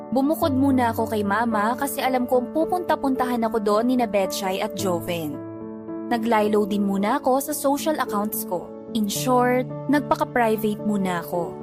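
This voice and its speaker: Young Filipino female storyteller played by an actress, reflective scripted narration, fairly high voice, music bed. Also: lightly acted. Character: young woman narrating her own love life, reflective.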